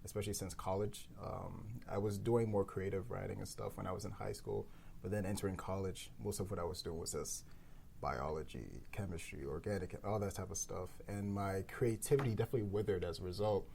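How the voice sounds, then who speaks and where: A man with a very low, gravelly voice co-hosts a casual round-table podcast. His tone is dark and mellow, and he talks loosely with lots of fillers, over a faint hiss of background noise. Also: brisk. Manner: relaxed, filler-heavy, laughs often. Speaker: podcast co-host.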